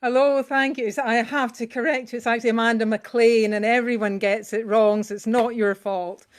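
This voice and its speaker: A Scottish woman talks over a video call before she sings. Her voice is smooth and clear, and her chatty, halting speech keeps stopping and restarting. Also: a little high, fairly even intonation. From Scotland. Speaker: singer sharing a song. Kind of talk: casual introduction at an online song swap.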